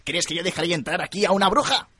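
A man's voice: high-pitched, very fast, bright, forceful tone, slightly rough, no echo, heavily acted. A man playing an indignant, bragging fire demon in a radio drama.

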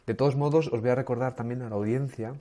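A man with a Spanish accent from Spain hosting a video interview, friendly and halting, with many fillers. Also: medium pitch, fairly even intonation, dark tone. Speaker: interview host.

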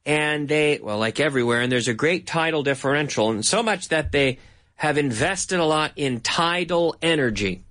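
A Canadian man teaching English in a patient, drilling way, saying each word several times. His voice sits a little higher than most men's. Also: fairly bright tone. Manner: slow, repeats words for drilling. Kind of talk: bilingual English lesson.

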